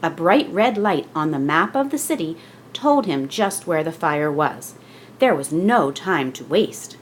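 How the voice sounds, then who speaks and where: Expressive woman reading a children's storybook. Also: low-pitched, unhurried, slight background noise. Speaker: children's book reader. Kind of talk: scripted storybook narration for children.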